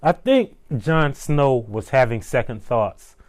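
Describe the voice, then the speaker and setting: A man's voice: medium pitch, animated, slow pace, darkish tone. A young man co-hosting a TV review, chatting casually and emphatically, often repeating his points. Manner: emphatic, repeats "I'm telling you". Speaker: co-host of a TV review show. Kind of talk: casual chat.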